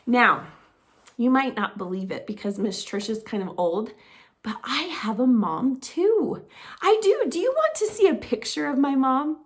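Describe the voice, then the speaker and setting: A middle-aged woman teaching preschoolers, talking to small children in an animated, sing-song teacher voice. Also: high-pitched, a little slow. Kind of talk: children's circle-time lesson to camera.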